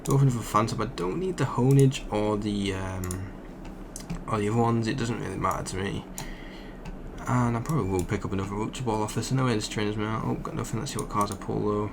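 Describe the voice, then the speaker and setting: A young man, croaky from just waking up, rambling casually as he commentates a card game he is playing. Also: on the low side, steady tone, fast talker, noisy background. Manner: rambling, thinks aloud with fillers. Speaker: gaming channel host.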